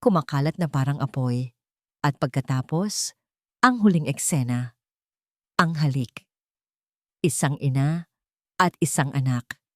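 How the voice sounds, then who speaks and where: A woman narrates a scripted melodrama in a dramatic storytelling style. Her voice sits a little low for a woman, recorded dry and close. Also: a little slow, long pauses, no echo.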